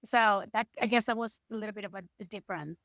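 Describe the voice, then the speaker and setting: A middle-aged woman from Ecuador, speaking English with an Ecuadorian Spanish accent as a guest musician, lively and sometimes searching for words. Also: medium pitch, quick pace, dry recording, studio quality. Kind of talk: casual interview chat.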